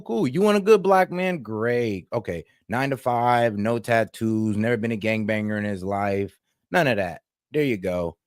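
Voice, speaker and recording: Blunt animated Caribbean man co-host. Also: medium pitch, no room sound, clean studio sound. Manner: blunt, animated, mocking quoted voices. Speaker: podcast co-host. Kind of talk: casual group discussion.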